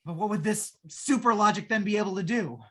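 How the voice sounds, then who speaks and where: A woman's voice: mid-range voice, lightly acted. A woman playing a jittery, wheedling, wisecracking repairman in a 1950s radio play, talking in slangy patter. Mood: impatient, eager. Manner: slangy fast-talking gangster-style patter. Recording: noisy live radio play stream.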